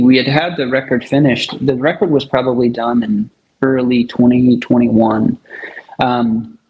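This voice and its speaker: A man's voice: mid-range voice, gravelly, a little mellow, highs cut off. A man speaking casually and enthusiastically as a musician being interviewed.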